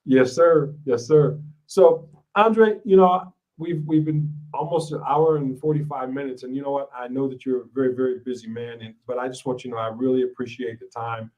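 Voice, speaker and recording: A man's voice: mid-range voice, steady tone, normal speed, few pauses, a little mellow, far from the mic, lo-fi sound. Affirming male host from Ohio. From Ohio, USA. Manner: affirming, frequent agreement, gracious. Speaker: podcast host. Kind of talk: casual interview.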